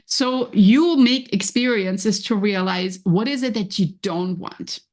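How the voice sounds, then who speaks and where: A woman's voice: mid-range voice, slow pace, darkish tone. A woman with a German accent hosting a solo motivational podcast, talking conversationally and encouragingly, often voicing inner thoughts. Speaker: podcast host and coach.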